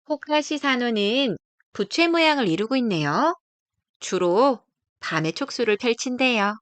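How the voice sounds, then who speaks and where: Lively high-voiced woman reading to children. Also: measured pace, dry recording. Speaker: storyteller reading a children's nature book. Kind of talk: scripted children's picture-book narration.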